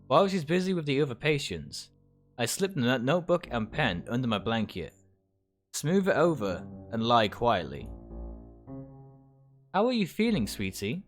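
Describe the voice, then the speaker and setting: A man's voice: mid-range voice, sing-song, normal speed, soft background music. A man livestreaming a visual novel, reading its text aloud and stumbling over phrases now and then. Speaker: video game streamer.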